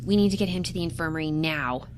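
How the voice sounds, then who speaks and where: A woman's voice: sits low, fast, background noise, natural voice. A woman playing a nervous but eager lab scientist in a science fiction audio drama, chatty and a little hesitant. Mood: wry, helpful.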